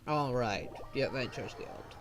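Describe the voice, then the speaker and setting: A woman streamer giving casual, chatty gameplay commentary, excitable and quick to laugh. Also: very deep voice, noisy background. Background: faint game music.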